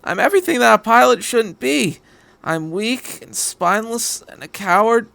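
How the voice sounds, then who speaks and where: A man reading fan fiction aloud as an anxious, self-loathing fourteen-year-old boy pilot, halting and pleading. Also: very high-pitched, animated, creaky, sharp tone, dry recording, voice pushed up, heavily acted. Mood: anguished, despairing.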